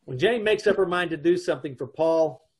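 A man's voice: on the high side, a little fast, natural voice. A middle-aged man playing a wry, exasperated husband who wisecracks about his troubles in an old-time radio comedy. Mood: wry, narrating. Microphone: video call.

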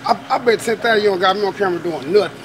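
American guy arguing real fast, slangy, keeps repeating, busy store noise. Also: high-pitched, crowd noise. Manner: insistent, slangy, repetitive denials. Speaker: shopper accused in a prank. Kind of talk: casual argument. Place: large store aisle.

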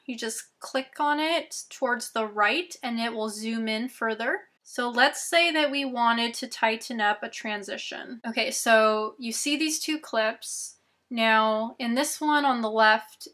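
A young woman in her twenties who is losing her voice, walking viewers through video editing steps slowly and clearly from a small bedroom. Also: a little high, pushed and bright. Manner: slow, step-by-step instructions, chatty asides. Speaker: YouTube tutorial host. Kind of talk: screen-recorded software tutorial.

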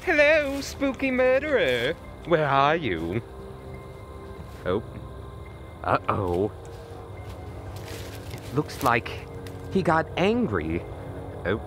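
Man, nervous stammering vlogger, voice cracks, very swoopy pitch, slow delivery, outdoor night recording. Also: big pitch swings, music in the background. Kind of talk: mock-scared comedic night vlog. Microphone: handheld camera.

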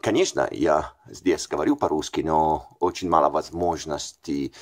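Italian man, 40s, low voice, casual hesitant vlog to a desk camera in a small room. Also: moderate pace, darkish tone. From Italy. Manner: hesitant, many fillers and self-corrections. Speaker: language learner vlogger. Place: small bright room indoors.

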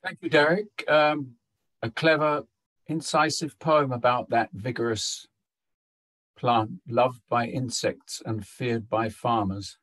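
A man, a poet, giving a measured spoken introduction at an online poetry event. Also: medium pitch, fairly even intonation, fairly bright tone, no room sound.

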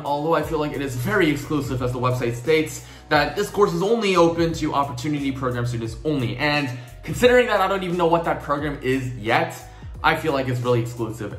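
A man's voice: medium pitch, a bit bright, reverberant. A young man, a student whose first language is Korean, chatting in an upbeat, rambling way while explaining things, with fillers along the way. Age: young adult. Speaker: student vlogger. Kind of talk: casual explainer vlog.

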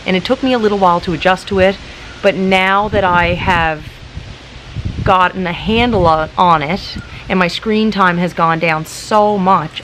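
Calm woman in her late thirties, outdoors. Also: lowish voice, low-quality recording. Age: late 30s. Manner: calm, practical, chatty with many likes. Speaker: YouTuber. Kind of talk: casual vlog talk to camera. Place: outdoors in a garden in front of hedges. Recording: outdoor wind noise.

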